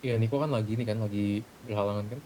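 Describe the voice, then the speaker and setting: A man's voice: rapid-fire, dark tone, poor audio. A young man with a colloquial Jakarta Indonesian accent, talking bluntly and casually in online game voice chat. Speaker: GTA roleplay gamer in a group meeting. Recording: gaming mic with faint low rumble.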